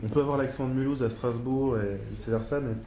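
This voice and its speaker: A man's voice: medium pitch, steady tone, fast. A middle-aged man, a psychiatrist, speaking at a conference panel, hesitant with many fillers and careful over foreign names. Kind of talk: academic conference talk and discussion. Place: large lecture hall. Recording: echoey hall with faint noise.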